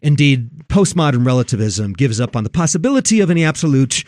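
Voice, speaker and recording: Male talk host, mid-pitched with big melodic swings, preachy and emphatic, recorded dry and close. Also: sing-song, quick pace, darkish tone, no room sound. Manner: emphatic, preachy, repeats phrases for effect. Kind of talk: religious commentary on film and culture.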